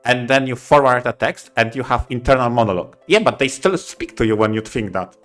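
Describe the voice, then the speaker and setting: A man co-hosting a podcast casually, speaking in halting, emphatic short phrases. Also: medium pitch, fairly bright tone, soft background music. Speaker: podcast co-host.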